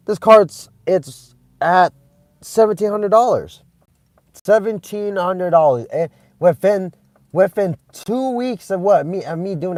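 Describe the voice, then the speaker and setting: Excitable rambling young man on a phone stream. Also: mid-range voice, no room sound. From Vietnam. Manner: excitable, rambling, many restarts and fillers. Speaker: channel host streaming live. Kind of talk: casual live-stream chat with viewers.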